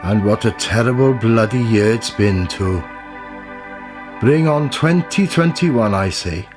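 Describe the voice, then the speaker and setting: An actor playing a blunt, chatty, grumbling road worker in a radio play, with colloquial speech. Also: male voice, fairly low pitch, takes it slowly, music in the background. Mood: wary, grumbling. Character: matter-of-fact, grumbling working man gritting roads.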